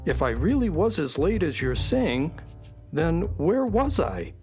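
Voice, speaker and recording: Man as an animated, grumbling space horse. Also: a little high, unhurried, soft background music. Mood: puzzled. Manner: expressive, indignant. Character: male space horse, grumbling but friendly sidekick. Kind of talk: children's radio drama acting.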